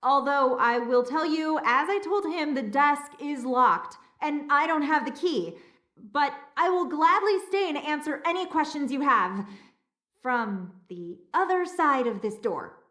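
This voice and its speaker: A woman's voice: high voice, animated, measured pace, fairly bright tone. An actress playing a nervous, fussy castle museum historian in an adventure game, bookish and hesitant as she eagerly explains. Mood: explanatory. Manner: hesitant, repeating words, bookish. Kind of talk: scripted adventure game dialogue. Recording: roomy, echoing voice.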